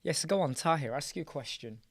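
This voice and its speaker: A hoarse, croaky British man hosting a casual live chat, greeting viewers by name and trailing off into restarts. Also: fairly high pitch, expressive, brisk, no room sound. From United Kingdom. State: hoarse, croaky, clearing his throat. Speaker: live chat show host. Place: small dark room with soundproof panels.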